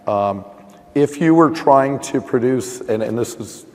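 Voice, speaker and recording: A man with a hoarse voice explains a technical topic at a conference session. He works through his thoughts out loud, with restarts and fillers. His medium-pitched voice carries a long echo from a large hall. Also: measured pace. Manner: thinking aloud, with frequent restarts and "you know". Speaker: conference panelist.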